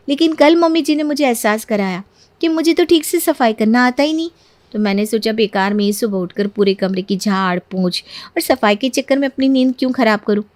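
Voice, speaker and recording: Woman voicing a coy, very high-pitched young bride. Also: darkish tone, no room sound, close to an everyday voice. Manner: mock-innocent, self-justifying. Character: stubborn, coy young daughter-in-law dodging housework. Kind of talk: cartoon moral story voice acting.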